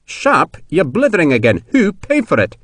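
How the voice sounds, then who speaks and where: A man's voice: a little high, huge pitch swings, normal speed, a bit bright, no echo, pitched above the performer's own voice, full character voice. A man voicing a stern, upright, easily flustered colonel with formal, exclaiming delivery in an audiobook. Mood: exasperated, accusing. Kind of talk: audiobook character dialogue.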